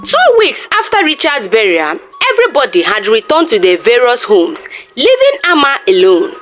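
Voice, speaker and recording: A female storyteller narrates a scripted folktale. Her voice is fairly high and extremely expressive, rising and falling dramatically, with music playing behind it. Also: normal speed.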